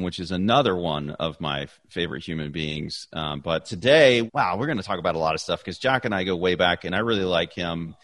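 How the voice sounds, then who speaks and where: Deep-voiced effusive male podcast host. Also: fairly bright tone. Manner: chatty, effusive, repeats himself. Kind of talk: casual interview chat.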